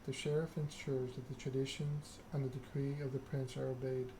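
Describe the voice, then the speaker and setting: Male ASMR streamer, mid-pitched and flat in intonation, measured halting delivery, noisy ambient recording. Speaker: game streamer, host. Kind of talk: soft-spoken ASMR reading and stream chat.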